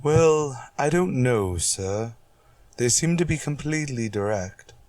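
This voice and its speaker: A man's voice: mid-range voice, animated, takes it slowly, darkish tone, no echo. A man playing a cautious, thoughtful ship's psychologist in a sci-fi radio drama, speaking hesitantly and deferentially. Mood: uneasy, careful.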